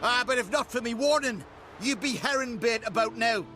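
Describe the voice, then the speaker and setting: An actor playing a boastful, grumbling islander in a video game. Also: male voice, extremely high pitch, normal speed, music in the background. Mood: grudging. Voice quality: brash, grumbling. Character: cocky foul-mouthed male islander, boastful and grudging.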